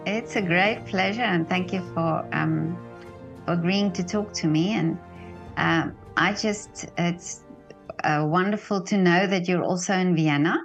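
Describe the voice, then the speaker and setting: A woman's voice: on the low side, measured pace, soft background music. A woman hosting an interview, asking friendly questions with frequent fillers and restarts. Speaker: interviewer, host. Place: Vienna.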